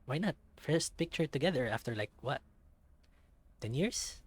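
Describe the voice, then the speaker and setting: A man's voice: a little high, animated, a little slow, husky, no echo. A man voicing a cheerful, affectionate young male otter who is upbeat and eager, in a visual novel read-aloud. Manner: upbeat, eager, mixing in Tagalog phrases.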